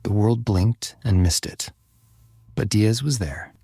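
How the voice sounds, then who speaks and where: Low, husky man narrating a thriller. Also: slow pace, mellow, no echo, clean studio sound, faint music behind. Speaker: audiobook narrator. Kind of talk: scripted dramatic fiction narration.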